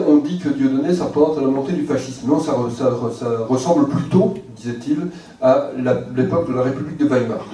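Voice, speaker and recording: A man giving a measured lecture to an audience, often pausing on hesitant fillers. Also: mid-range voice, mellow, a little hiss or hum. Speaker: guest speaker. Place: lecture hall. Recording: very echoey hall, mic far off.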